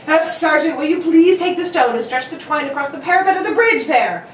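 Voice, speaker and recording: A woman's voice: sits high, animated, fairly quick. A woman playing a brilliant, exacting male detective in an old-time radio drama, with precise, theatrical delivery. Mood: brisk, confident. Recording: echoey room, distant mic, faint noise.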